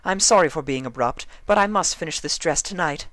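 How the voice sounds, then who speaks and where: A man's voice: fairly high pitch, brisk, a bit bright, no echo, voice pushed up, lightly acted. A man voicing a prim, fussy, bossy female pony dressmaker with affected, refined diction in a storybook reading. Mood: brisk.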